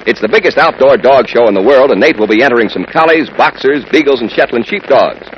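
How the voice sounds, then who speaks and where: A man's voice: mid-range voice, animated, fast talker. A man reading a scripted 1940s radio segment as announcer, in a chummy way aimed at youngsters. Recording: muffled old radio broadcast, faint hiss.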